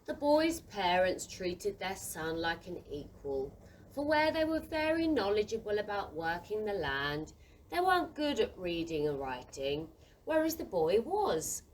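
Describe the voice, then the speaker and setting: A woman narrates a children's story in a theatrical, scripted style. Her mid-pitched voice rises and falls widely. It is picked up from a little distance, with faint noise behind it. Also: big pitch swings, measured pace.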